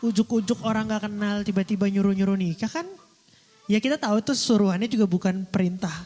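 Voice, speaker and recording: A man's voice: high voice, steady tone, quick pace, warm tone. A young man co-hosting a podcast, trading jokes and teasing banter in casual chat. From Indonesia. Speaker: podcast co-host.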